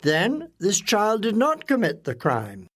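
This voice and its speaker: A man doing a kind old gentleman, gentle and slow. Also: high-pitched, huge pitch swings, reverberant, big-room sound, pitched above the performer's own voice, heavily acted. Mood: concerned. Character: kind elderly gentleman, retired lawyer.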